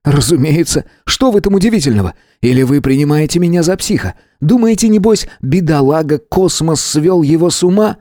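A man reading aloud, voicing a frightened, near-hysterical male Earthman who talks shrill and pleading. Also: animated, fairly quick, few pauses, dark tone, no echo, studio quality, close to an everyday voice. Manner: shrill, pleading, rising to squeals.

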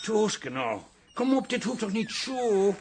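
An actor playing a reasonable middle-aged husband in a radio play, soothing and stammering, often repeating himself. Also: male voice, high voice, big pitch swings, slow, slight background noise, exaggerated voice. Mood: coaxing. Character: placating, reasonable husband, Mees. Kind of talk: naturalistic Dutch radio drama acting.

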